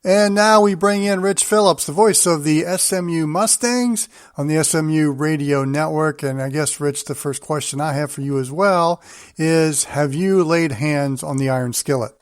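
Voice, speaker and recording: A man's voice: on the high side, normal speed, no room sound, clean studio sound. A man hosting a sports talk show, interviewing guests in a conversational way.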